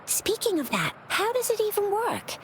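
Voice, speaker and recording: A bubbly girl from a video game. The voice is extremely high and bounces around in informal exclamations, over a faint bed of ambience. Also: wildly swooping pitch, moderate pace, fairly bright tone, a little hiss or hum, full character voice. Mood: puzzled, animated. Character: bubbly, excitable teenage girl, openly impressed.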